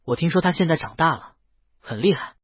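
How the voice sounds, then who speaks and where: A man voicing a shy, well-behaved young male dragon in an audio story, soft, hesitant and sincere, with a husky voice. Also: fairly high pitch, expressive, fairly quick, no room sound. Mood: earnest. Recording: dull, lo-fi dry voice track.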